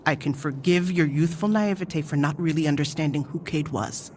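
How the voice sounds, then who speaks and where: Stern, low-voiced commanding female mentor played by a woman. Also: slight background noise, close to an everyday voice. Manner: stern, measured, scolding. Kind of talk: scripted audio drama acting.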